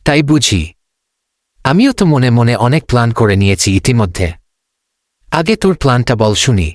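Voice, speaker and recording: A man voicing a friendly, money-minded young boy in a children's cartoon, speaking in an animated way. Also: medium pitch, normal speed, close to an everyday voice. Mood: chummy.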